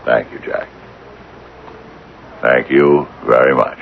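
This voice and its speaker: A man's voice: rumbling low, fairly even intonation, takes it slowly, vocal fry, exaggerated voice. An actor playing a fussy, hesitant older father who dotes obsessively on his cat, in an old-time radio drama. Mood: insistent. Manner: fussy, hesitant, fond murmuring. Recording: dull, noisy old radio recording.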